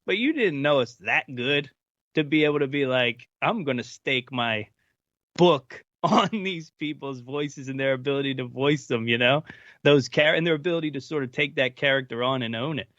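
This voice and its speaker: A man's voice: on the high side, expressive, normal speed, fairly bright tone, dry recording, dull audio. A man chatting casually as a voice actor being interviewed.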